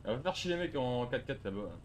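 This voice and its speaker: A man's voice: medium pitch, big pitch swings, fast talker, a little hiss or hum. A man talking casually in an online game, blunt, crude and mocking.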